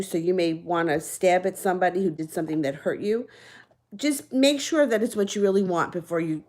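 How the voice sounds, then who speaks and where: Brief: woman tarot reader, lowish voice, out of breath, a bit congested and nasal, relaxed chatty delivery. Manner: casual, chatty, reassuring. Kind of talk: live-stream tarot readings.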